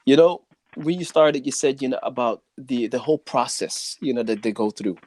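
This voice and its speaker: A man's voice: mid-range voice, a little mellow, no echo. Male podcast host, dry close sound. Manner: halting, frequent "you know". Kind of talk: casual conversational interview.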